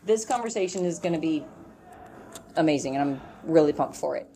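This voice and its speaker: A woman's voice: low-pitched, expressive, a little fast, dark tone, slight background noise. A woman hosting a podcast, chatting with enthusiasm and energy. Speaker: podcast host. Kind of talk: upbeat podcast chat.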